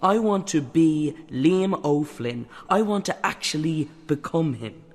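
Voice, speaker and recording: A man's voice: fairly high pitch, lively intonation, a little slow, in a large hall. An actor playing a cautious male piper who haggles with the devil over his soul. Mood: eager.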